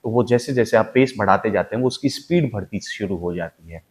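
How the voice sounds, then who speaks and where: A man's voice: on the low side, fairly quick, darkish tone, breathy edge. A man teaching an acting lesson, explaining step by step with emphasis and repetition of key points. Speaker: acting coach. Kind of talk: instructional acting lesson with spoken demonstrations.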